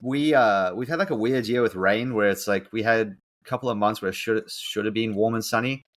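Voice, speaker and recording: A man's voice: lowish voice, brisk. A man with a blend of South African, New Zealand and Australian accents, chatting casually as a podcast host. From South Africa, later New Zealand and Australia. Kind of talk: casual interview chat.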